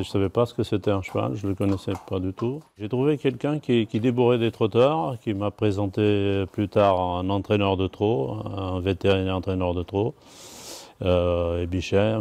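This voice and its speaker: A man from Portugal, a native Portuguese speaker working as a horse trainer, giving a plain, measured interview answer. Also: sits low, warm tone. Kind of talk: interview reply.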